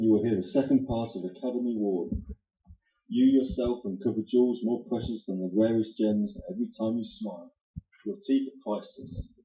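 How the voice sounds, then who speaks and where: A man's voice: on the low side, dark tone. A man announcing in 1940s radio style, with polished, salesmanlike delivery. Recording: muffled sound in echoey room.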